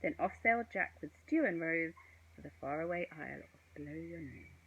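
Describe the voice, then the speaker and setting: A woman reading a children's picture book aloud in an expressive, sing-song way, with chatty asides to young viewers. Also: deep voice, moderate pace, husky, muffled recording. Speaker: story reader.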